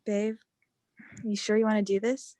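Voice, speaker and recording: A woman's voice: medium pitch, level tone, normal speed, warm tone, no echo, close to an everyday voice. A woman acting a blunt, teasing young emergency medical technician in a screenplay table read. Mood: playful, blunt.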